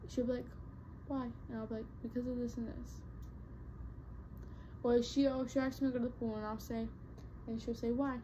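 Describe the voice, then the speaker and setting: A woman's voice: on the high side, flat delivery, moderate pace, long pauses, mellow, a little hiss or hum, low-quality recording. A young woman vlogger chatting casually to her viewers, rambling with lots of fillers and restarts. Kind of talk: casual talk to camera.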